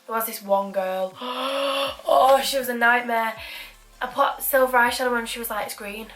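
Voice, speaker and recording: A chatty young woman with a Mancunian accent talks through her makeup routine, her voice sitting a touch higher than most. She keeps up a running patter of jokey, self-deprecating asides as she works, and faint music plays underneath. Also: fairly even intonation, moderate pace, fairly bright tone. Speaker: beauty vlogger.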